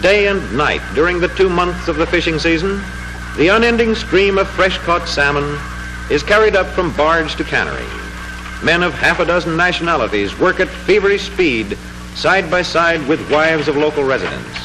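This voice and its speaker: A man narrating a 1940s educational film in a formal, measured, declamatory manner, reading from a script. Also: fairly high pitch, animated, low-quality recording. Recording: 1940s film soundtrack with music. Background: music.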